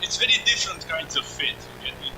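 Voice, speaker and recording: A man's voice: a little high, huge pitch swings, quick pace, creaky, a crowd in the background, low-quality recording. A man whose first language is Estonian, joking and explaining things in casual voice chat with gaming friends.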